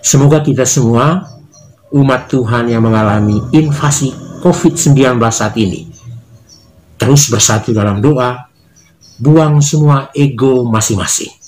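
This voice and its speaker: Earnest middle-aged priest giving a reflection. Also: male voice, medium pitch, slight background noise, poor audio. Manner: measured, earnest, reads in steady phrases. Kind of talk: spoken reflection, sermon-like. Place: small indoor office with bookshelves.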